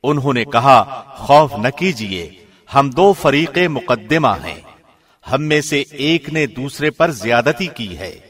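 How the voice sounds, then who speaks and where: A man's voice: medium pitch, lively intonation, fairly bright tone. Man solemnly reading scripture translation. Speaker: radio host reading a translation.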